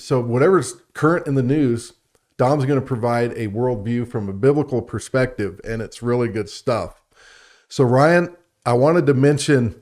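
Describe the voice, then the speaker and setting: This is a man co-hosting a talk show on science and faith. He chats in an enthusiastic, conversational way. His voice sits at a medium pitch for a man. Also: darkish tone. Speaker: radio show co-host.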